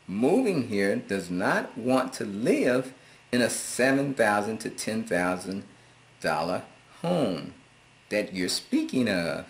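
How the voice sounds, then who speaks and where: A middle-aged American man reading viewer comments aloud and answering them in a laid-back, amused and sarcastic way. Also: fairly low pitch. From United States. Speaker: vlogger.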